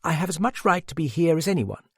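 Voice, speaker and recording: A man's voice: fairly high pitch, very animated, quick pace, creaky, slightly rough, dry recording, studio quality, pitched above the performer's own voice, full character voice. A man voicing a slight, willful young woman in an audiobook, with a little, insistent voice. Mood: defiant.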